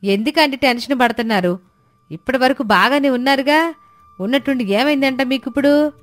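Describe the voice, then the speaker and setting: A woman's voice: sits high, fairly quick, soft background music, lightly acted. A woman playing a villager's nagging wife, scolding and insistent, in a moral story. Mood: insistent, questioning. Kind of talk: acted dialogue in a moral story.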